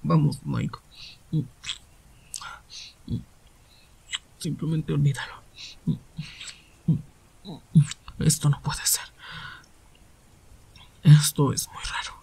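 A man playing a tired, gloomy, reserved hero teacher in an anime roleplay, with a subdued, breathy voice. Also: a little high, steady tone, unhurried, a little mellow, whispered, exaggerated voice. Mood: uneasy, reluctant. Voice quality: husky, breathy. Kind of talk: anime fan-dub ASMR roleplay.

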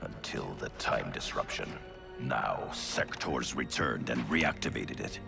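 An actor playing a stern, stoic veteran ninja clan leader in a video game, speaking gravely and formally. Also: male voice, expressive, takes it slowly, over music, exaggerated voice. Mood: measured, serious. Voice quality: deep, gravelly. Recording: loud score behind.

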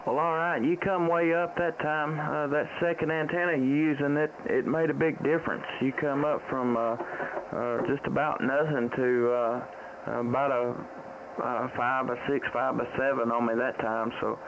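A man's voice: mid-range voice, normal speed. A middle-aged man with a Southern US accent chatting casually as a ham radio operator, folksy and rambling, repeating his phrases. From United States. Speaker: ham radio operator, live-stream host. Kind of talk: casual radio contact chat. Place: small indoor home radio room. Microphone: boom-arm studio microphone, close, with headset. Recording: steady radio static noise.

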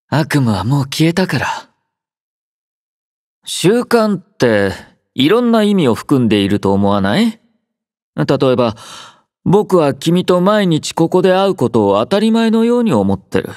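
An actor playing a gentle, quietly caring young male android soldier in a Japanese game, soft-spoken, drowsy and composed, with a mildly teasing manner. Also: a little high, no echo, studio quality. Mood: gentle, reflective. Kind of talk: Japanese game character voice acting.

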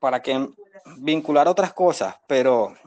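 A man's voice: medium pitch, expressive, a little slow, darkish tone, lo-fi sound. A young man from Venezuela with a Venezuelan accent, earnestly explaining his case as a defendant at a court hearing over a video call. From Venezuela, born in Caracas. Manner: earnest, repetitive explaining with apologies to the judge. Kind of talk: spontaneous testimony, pleading his case. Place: indoors against a plain wall, on a video call. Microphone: phone at arm's length on video call.

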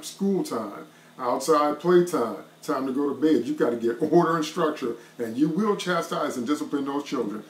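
A middle-aged man preaching an online devotional sermon to a webcam from a small wood-paneled room, with an emphatic, repetitive cadence. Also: on the high side. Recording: roomy echo.

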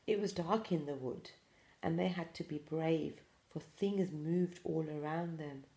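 A woman in her forties gently reading a picture book aloud to pre-school children, with expressive storytelling. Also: deep voice, unhurried, vocal fry, echoey room. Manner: gentle, addressing small children. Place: small room indoors.

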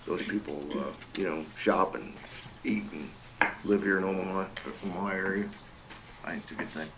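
Deep-pitched man, informal, noisy recording. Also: normal speed. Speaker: board member. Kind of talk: informal remarks at a meeting. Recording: noisy meeting room recording.

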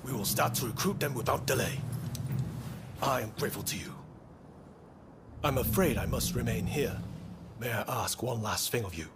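A man's voice: mid-range voice, normal speed, pauses often, very noisy, close to an everyday voice. A man playing a dutiful naval admiral in a video game, formal, composed and polite. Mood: composed, grateful. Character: courteous, dutiful male Japanese naval admiral.

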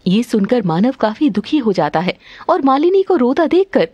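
A woman's voice: a little high, sing-song, normal speed, breathy edge, no echo, dull audio. A woman narrator reading a scripted story.

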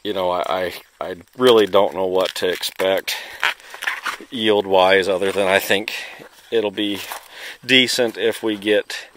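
Low, monotone Midwestern American farmer. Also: male voice, a little slow, a little hiss or hum. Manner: understated, hedging. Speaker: farmer hosting a field video. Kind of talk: casual unscripted field walk-through. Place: outdoors in a cornfield. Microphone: handheld camera or phone, operator behind it.

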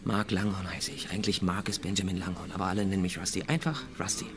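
Man acting a furtive, low, hushed passenger. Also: fairly even intonation, noisy background, lightly acted. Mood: furtive, hesitant. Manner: hushed, halting, colloquial mumbling. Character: scruffy, furtive young male passenger, slangy and hesitant. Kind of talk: modern German radio crime drama acting.

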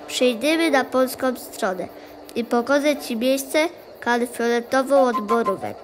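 A woman playing a kind, clever young male sheepdog in an audio play, friendly and reassuring, with a Polish Goral highland accent. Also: measured pace, slight background noise, close to an everyday voice. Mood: helpful, eager. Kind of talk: audio play dialogue in dialect.

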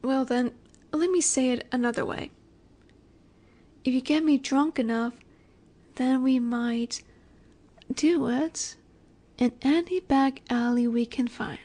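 A woman playing a flirty, confident female mafia boss in a roleplay audio drama, coy and teasing with breathy asides. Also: high-pitched, takes it slowly, long pauses, warm tone. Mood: seductive, teasing.

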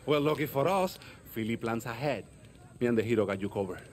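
A man playing an eccentric, boastful guerrilla tinkerer in a video game, with theatrical, excitable flourishes. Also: medium pitch, slight background noise, close to an everyday voice. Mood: boastful, gleeful. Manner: theatrical, drawn-out bragging with affectionate flourishes. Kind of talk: video game cutscene acting.

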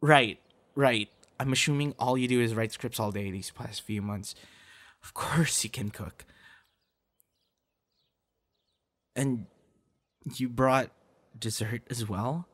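A young man playing a playful, affectionate boyfriend in an ASMR audio roleplay, with a teasing, encouraging, slightly halting delivery. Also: medium pitch, lightly acted. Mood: pleasantly surprised. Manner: teasing, encouraging, halting with soft laughs and gasps. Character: playful, affectionate young male voice actor on a date.

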